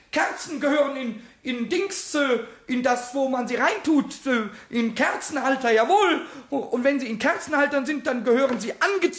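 A man voicing a small, cheeky, childlike kobold in a children's radio play, squeaky and excitable, muttering to himself in rhymes. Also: heavily acted. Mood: bossy, busy.

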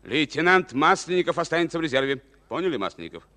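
An actor playing a resolute, earnest Soviet army captain in a declamatory, emphatic mid-century radio drama style. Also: male voice, fairly high pitch, sing-song, fast. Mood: commanding. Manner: declamatory, emphatic, swinging between hushed and ringing.

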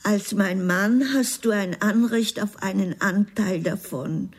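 Husky, resolute wife. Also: female voice, mid-range voice, slow pace. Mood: quietly determined. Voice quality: husky, breathy, creaky. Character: resolute, tender wife who fears poverty. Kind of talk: radio drama acting.

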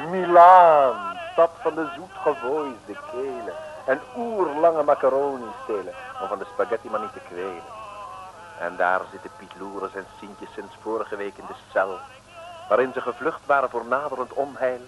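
Playful male narrator of an old radio serial. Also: medium pitch. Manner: playful, theatrical, rhyming storytelling. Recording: noisy old radio recording.

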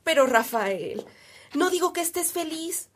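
A woman's voice: very high voice, expressive, a little slow, a little hiss or hum. A woman playing a pragmatic, devoted relative of a priest in a radio drama, with a Mexican accent. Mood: concerned.

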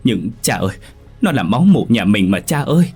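A man's voice: lowish voice, very animated, a little fast, a little mellow, husky, faint music behind, exaggerated voice. Story role: timid young daughter-in-law, pleading and submissive acted by a male narrator. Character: timid, frightened young daughter-in-law begging for her child.

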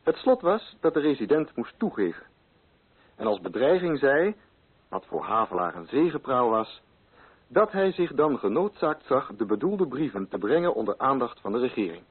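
An actor as a measured, ironic male storyteller, giving formal, deliberate radio drama narration in a husky voice. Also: mid-range voice, very animated. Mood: measured, grave. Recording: muffled, phone-like old radio recording.

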